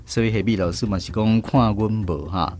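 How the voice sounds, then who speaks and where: A man's voice: lowish voice, steady tone, brisk, a little mellow. A man with a Taiwanese accent hosting a language lesson segment on the radio. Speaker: radio show host.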